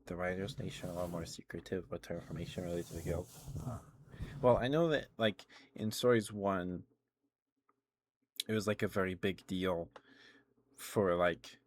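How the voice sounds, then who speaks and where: A man with a deep voice hosts a casual ASMR livestream. He chats in a loose, rambling way, often halting and picking his thought back up. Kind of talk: casual ASMR gaming chat.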